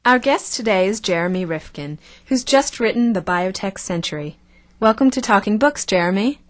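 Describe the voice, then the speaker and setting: A woman's voice: medium pitch, animated, moderate pace, no room sound, studio quality, close to an everyday voice. An actress playing a chatty, self-assured female talk show co-host in an audio drama. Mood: polite, hosting.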